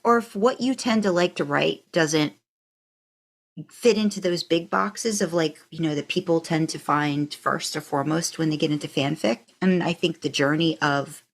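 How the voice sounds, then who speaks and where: Low voiced woman, podcast host, just chatting casually. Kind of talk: casual chat and podcast sign-off.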